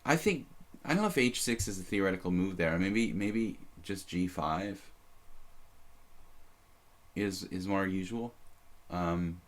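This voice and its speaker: Deep-voiced man rambling over a chess stream. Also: lively intonation, unhurried. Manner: rambling, self-deprecating, many fillers and restarts. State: slight leftover cough. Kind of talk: casual live-stream commentary.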